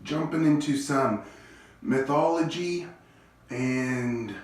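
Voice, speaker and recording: A man's voice: slow pace, distant mic. Stuffy-nosed man in an echoey bathroom. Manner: rambling, low-energy musing. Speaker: host. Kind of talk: casual speculative monologue. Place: small home bathroom.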